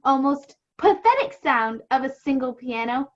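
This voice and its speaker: Teenage woman acting a solemn female radio reporter, high-pitched, dramatic, muffled call audio. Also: animated, normal speed. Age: late teens. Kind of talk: 1930s radio news acting. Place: home room on a video call. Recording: dull video call audio.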